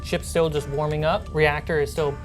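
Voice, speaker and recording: A woman runs a tabletop roleplaying game, narrating scenes as game master. Her voice is low and fairly flat, with music clearly playing behind it. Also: normal speed. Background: clear background music.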